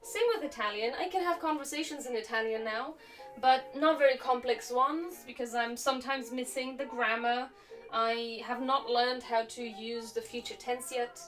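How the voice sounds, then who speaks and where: Relaxed higher-voiced woman, chatting. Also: moderate pace. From Slovakia, raised in Germany. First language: Slovak. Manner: relaxed, reflective, chatty with frequent fillers. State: slightly sore throat. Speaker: live stream host. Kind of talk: casual chat while crafting. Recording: distant mic with faint music.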